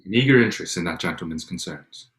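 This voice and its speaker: Echoey low voice, guy acting a stiff proud regency gentleman suitor, formal and halting, drama reading. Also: lively intonation. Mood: bitter, defiant. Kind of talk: staged reading of a period drama. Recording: echoey room over online call.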